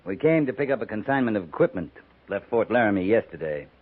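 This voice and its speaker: A man playing a gruff, commanding cavalry captain in a 1950s radio western, speaking tersely and with dry authority. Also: on the low side, sing-song, quick pace. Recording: muffled, noisy 1950s radio broadcast.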